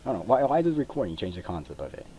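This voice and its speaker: A man's voice: lowish voice, big pitch swings, very fast, dark tone, lo-fi sound, slight background noise. A young man from Mexico chatting casually over his gameplay, rambling and hesitant with many fillers, on a cheap computer mic. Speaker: gaming video creator. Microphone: cheap computer microphone. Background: controller clicks.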